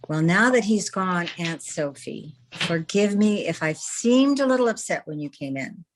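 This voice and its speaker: A woman's voice: lowish voice, expressive, unhurried, a little hiss or hum, poor audio. A woman playing a proud, flustered young newspaperwoman in an old-time radio drama, hesitant and exasperated. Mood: anxious, covering up.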